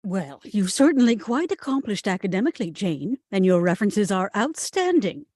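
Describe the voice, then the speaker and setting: A woman's voice: mid-range voice, very animated, moderate pace, dark tone, dry recording. A woman playing a stern, formal nun in her fifties in a script table read. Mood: formal, approving. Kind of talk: acted script reading.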